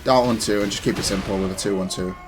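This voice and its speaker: A man giving casual, analytical commentary on his own gameplay, self-critical but matter-of-fact and even-tempered. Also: on the low side, fast talker, soft background music. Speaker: gaming content creator commentating on own matches.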